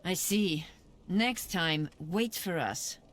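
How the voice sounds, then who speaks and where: A woman's voice: fairly low pitch, takes it slowly. A woman playing a composed, authoritative leader in a fantasy video game. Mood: stern.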